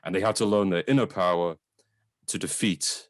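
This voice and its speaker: A man's voice: low-pitched, normal speed, dry recording, studio quality. A man hosting a podcast, chatting casually and enthusiastically about a film in an informal way. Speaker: podcast host. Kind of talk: casual film review chat.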